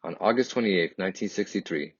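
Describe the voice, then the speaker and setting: Deep husky man reading a story aloud. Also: a little fast. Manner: emphatic, dramatic phrasing. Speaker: children's picture book reader. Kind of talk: expressive read-aloud storytelling. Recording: dull, lo-fi, echoey room.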